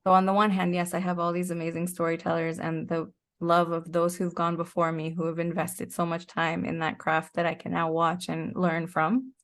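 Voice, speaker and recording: Low, creaky-voiced woman in reflective conversation. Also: completely flat delivery, moderate pace, hardly any pauses. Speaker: interview guest, performing artist. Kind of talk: casual, reflective interview chat.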